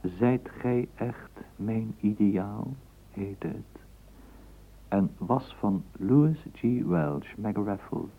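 An actor narrating a literary radio play as a measured, lyrical male storyteller. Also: fairly low pitch, creaky, exaggerated voice. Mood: tender, measured. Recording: muffled, noisy old radio play recording.

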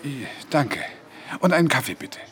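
An actor playing an excitable, fussy older pensioner in a German radio crime drama. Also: male voice, mid-range voice, normal speed. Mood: bashful. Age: older, retired. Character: widowed male pensioner, excitable, fussy, awkwardly smitten.

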